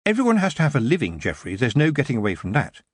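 A man's voice: mid-range voice, huge pitch swings, fast talker, slightly rough, no room sound, studio quality. A man voicing a practical young witch girl, speaking matter-of-factly. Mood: brisk.

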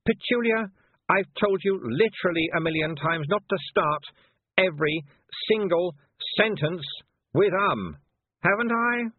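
A man's voice: high-pitched, lively intonation, measured pace, breathy edge, dry recording, voice pushed up, heavily acted. A man voicing a bossy, haughty, impatient teenage girl witch in a storybook reading, scolding and condescending. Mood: sharp, demanding. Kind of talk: audiobook character voice. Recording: muffled narrow-band phone-like sound.

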